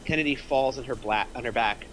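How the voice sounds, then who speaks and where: A man narrates a screenplay's action in a scripted table read. His voice is middle-pitched, bright and forceful, with faint noise in the background. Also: brisk, poor audio. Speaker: narrator reading stage directions.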